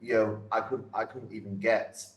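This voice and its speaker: A man's voice: on the low side, level tone, normal speed. A man from Salford with a northern English accent chats casually as an interview guest, often repeating himself and leaning on filler phrases. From Salford, north-west England. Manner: repetitive, punctuated with "you know". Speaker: interview guest, independent wrestler. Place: indoors in a pub. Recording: roomy, echoing pub interior.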